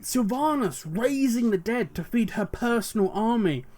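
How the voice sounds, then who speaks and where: A man with a notably high voice, his throat worn and going hoarse. He rambles passionately through his thoughts, musing aloud as the host of his own commentary video. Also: animated, moderate pace, darkish tone. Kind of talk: solo commentary on game lore.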